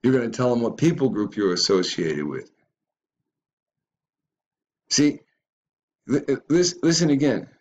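A man's voice: medium pitch, sing-song, unhurried, pauses often. A man giving a ranting reaction commentary on a video, stumbling and repeating words as he goes.

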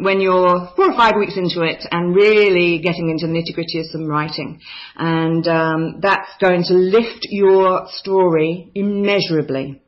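A woman from New Zealand tutoring a children's writing course, talking enthusiastically and chattily with frequent ums. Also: fairly low pitch. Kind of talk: course overview narration over slides. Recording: slightly dull lo-fi voice-over.

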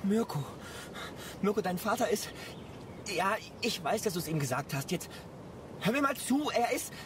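A man's voice: big pitch swings, normal speed, distant mic. An actor playing an anxious younger man in a radio drama, stammering and urgent. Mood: agitated, pleading. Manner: stammering, urgent, high-strung. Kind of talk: German radio drama acting. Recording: off-mic, noisy outdoor storm ambience.

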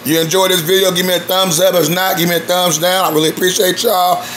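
Hoarse man vlogging from his kitchen. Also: high-pitched, level tone, quick pace, fairly bright tone, slight background noise. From Evansville, Indiana, US. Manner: loose, rambling, slangy. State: a bit hoarse. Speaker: cooking vlogger. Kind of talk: casual home cooking vlog. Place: home kitchen at night. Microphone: phone held at arm's length.

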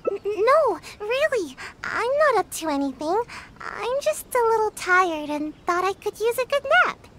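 Small evasive ninja girl for a video game: drowsy, slow-paced, lilting high voice. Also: sing-song, fairly bright tone, a little hiss or hum, full character voice. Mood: nervous, evasive. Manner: drowsy, hesitant, sighing. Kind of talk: video game character dialogue.

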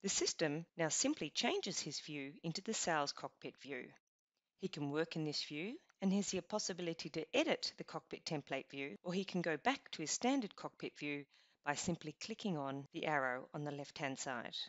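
Low-voiced woman calmly narrating a software tutorial, dry and kinda lo-fi. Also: moderate pace, no echo. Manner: even, instructional, step-by-step. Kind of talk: scripted screencast narration. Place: voice-over over screen recording. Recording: dull lo-fi voice-over.

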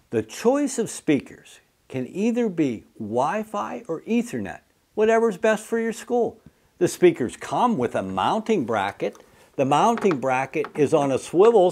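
A man's voice: on the high side, darkish tone. A man in his fifties giving a scripted product presentation to camera as a company presenter, recorded indoors in a studio. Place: indoor studio with plain backdrop.

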